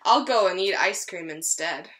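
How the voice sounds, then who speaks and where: Woman voicing an indignant storybook wolf, bright voice. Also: lowish voice, animated, moderate pace, slight background noise, pitched below the performer's own voice, low-quality recording. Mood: moaning, pained. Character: hungry, indignant male timber wolf.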